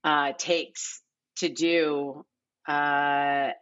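A middle-aged woman chatting casually as an interview guest, animated and self-deprecating. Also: deep voice, very slow, clear, resonant tone, muffled recording. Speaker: casting director, podcast guest. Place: home living room, video call.

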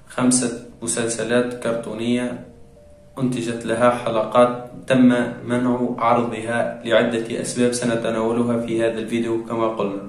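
A young man presenting a scripted explainer to viewers in formal, measured phrasing. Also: medium pitch. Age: young adult. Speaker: video presenter. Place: small tiled room, indoors. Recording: echoey room sound.

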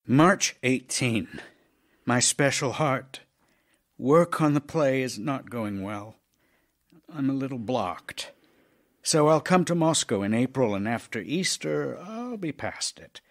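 A man's voice: mid-range voice, lively intonation, takes it slowly. An actor playing an ailing middle-aged playwright husband in an audio drama, tender, intimate and wistful as he voices love letters.

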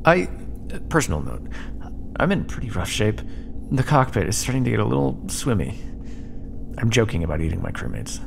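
A man's voice: lowish voice, very animated, a little fast, pauses often, full character voice. A man playing a sardonic, battered starship first officer dictating a log in an audio roleplay, wry and exasperated, with muttered asides. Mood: grim, wry. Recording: clear background noise under the voice.

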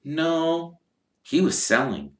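A polite, earnest young boy, speaking a little higher and explaining himself simply, as a man puts him on. The voice is bright and sits in a roomy echo. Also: very animated, takes it slowly, a little hiss or hum, full character voice. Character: polite, earnest twelve-year-old boy. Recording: echoey room.

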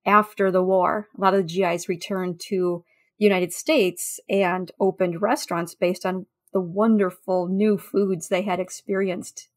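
A woman speaks as a guest on a podcast, in a medium-pitched voice. She tells anecdotes conversationally, feeling her way through sentences with small hesitations. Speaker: interview guest, food history researcher.

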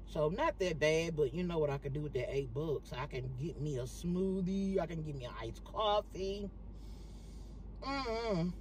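A woman chatting casually to her viewers in a vlog, animated and talking straight to the camera from a parked car on her phone. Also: low-pitched, unhurried, a little hiss or hum. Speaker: vlogger.

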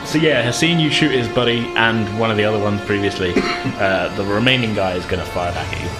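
Low-voiced man running a tabletop game. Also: brisk, poor audio. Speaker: game master. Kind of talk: casual narration of a tabletop role-playing game. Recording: music behind the voice.

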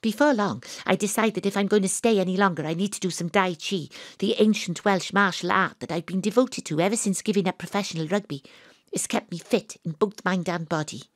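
A woman playing a gruff, dogged private detective who tells her own case in a dramatic, atmospheric audio drama delivery. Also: mid-range voice, brisk, no room sound, studio quality, natural voice. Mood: tense, hushed. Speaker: lead actress and narrator. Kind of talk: first-person audio drama narration.

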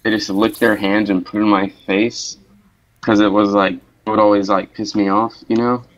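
A young man casually telling a story in a halting way, full of "like", over voice chat. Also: on the low side, little pitch movement. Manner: halting, peppered with "like". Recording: dull lo-fi voice chat.

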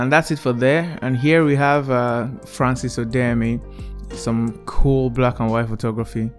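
A young man hosting his own channel, giving a casual, enthusiastic walkthrough of a VR art gallery he built, recorded on earbuds indoors. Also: medium pitch. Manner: relaxed, enthusiastic, repeats "really cool". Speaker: YouTube creator. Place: indoor room, wearing VR headset. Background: soft background music.

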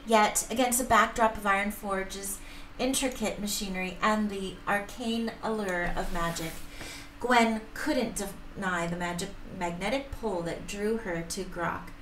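A middle-aged woman reads a romance story aloud at a careful pace, now and then tripping on a word. The room echoes around her medium-pitched voice, with faint noise behind. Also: a little slow, a bit bright. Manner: careful reading with stumbles. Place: home room, indoors, at a desk. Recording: roomy sound, faint background noise.

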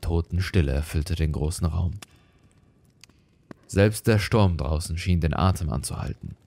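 A man with a fairly low voice tells a horror story. His delivery is scripted, grave and measured, with a theatrical touch. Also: gravelly, mellow. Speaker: storyteller, narrator of horror podcast.

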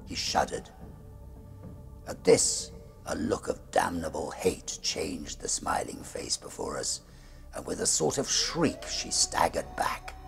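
A middle-aged man with a husky, gravelly voice narrating a gothic ghost story in a measured, suspenseful, theatrical way. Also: low-pitched, big pitch swings, whispered, soft background music.

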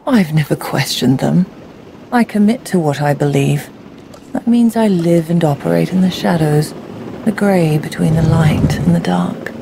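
An actress playing a cool, commanding female smuggling boss in a video game, speaking in a deliberate, enigmatic, self-assured way. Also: low voice, creaky, noisy background. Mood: cool, proud.

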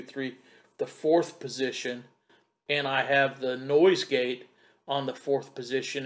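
A middle-aged man giving a casual step-by-step gear tutorial in a halting, think-aloud way, recorded at a home desk on a desktop mic about two feet away. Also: medium pitch. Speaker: tech tutorial presenter. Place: home computer desk in a wood-panelled room. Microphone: desktop condenser mic about two feet away.

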